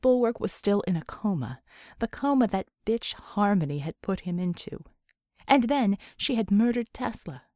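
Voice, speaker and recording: A woman's voice: a little high, darkish tone, no echo, clean studio sound. A woman narrating scripted fiction as an audiobook reader.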